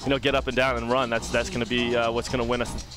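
A man's voice: medium pitch, little pitch movement, quick pace, over music. A young man, a lacrosse player, giving a post-game interview on a headset at an outdoor stadium sideline. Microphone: broadcast headset mic.

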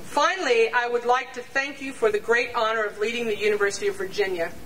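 An older woman reads a prepared statement at an outdoor rally in a measured, deliberate way, now and then restarting a phrase. Her voice sits fairly high and reaches the mic from some distance. A faint crowd murmur and open-air hiss sit behind her. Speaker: faculty speaker reading a statement at a rally. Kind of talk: reading a prepared statement aloud. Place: outdoor campus lawn before a large crowd. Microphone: standing mic on stand, picked up from a distance.

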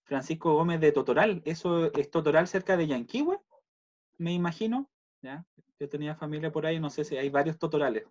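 A man's voice: on the high side, a little fast, a little mellow. A man with a Chilean accent casually hosting an online meeting and reading out messages over a video call. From Puerto Montt, Chile. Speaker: host of a virtual university welcome session. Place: indoors at home, on a video call. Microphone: computer video-call microphone. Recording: dull lo-fi video call audio.

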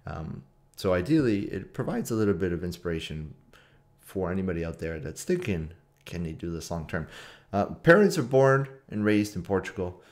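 A man's voice: deep voice, very animated, normal speed. A man in his late thirties with a Canadian accent, telling a personal story casually to camera and repeating phrases for emphasis. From Toronto, Canada. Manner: informal, conversational, with repetition for emphasis. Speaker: trader and trading community video host. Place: small room at home.

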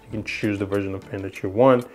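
A man's voice: fairly low pitch, fairly even intonation, fast talker, darkish tone, soft background music. A young man, not a native English speaker, casually walking through a device's features in a small indoor room, rambling with many fillers and restarts. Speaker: tech reviewer. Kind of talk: casual product demo.

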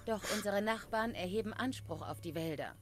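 A woman voicing a proud dryad in a video game, speaking in measured, solemn, formal phrases. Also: medium pitch, soft background music, close to an everyday voice. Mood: proud, grave. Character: grave female dryad, proud guardian of the forest. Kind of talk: scripted game dialogue.

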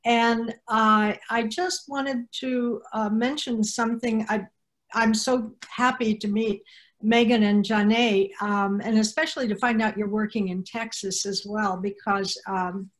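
A woman's voice: fairly high pitch, fairly even intonation, measured pace, rough recording. An older woman speaking informally as a panelist on a video call, often searching for her words. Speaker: panelist, activist coordinator. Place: room at home, on a video call.

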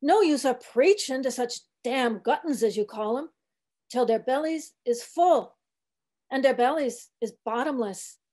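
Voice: sits high, lively intonation, a little slow, darkish tone. A woman voicing a grumbling, weary ninety-year-old male ship's cook in an audiobook, sullen and testy, speaking in dialect. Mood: resigned grumbling. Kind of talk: literary audiobook character reading.

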